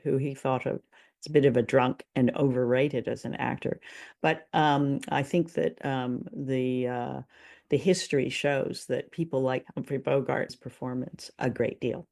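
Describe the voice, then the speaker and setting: Creaky-voiced woman co-hosting a film chat. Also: sits low, darkish tone. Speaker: podcast co-host. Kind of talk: casual discussion of a classic film.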